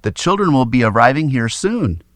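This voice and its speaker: A man's voice: mid-range voice, big pitch swings, moderate pace, no room sound, studio quality, close to an everyday voice. A man voicing an elegant, bossy female vampire in a storybook, with a commanding manner.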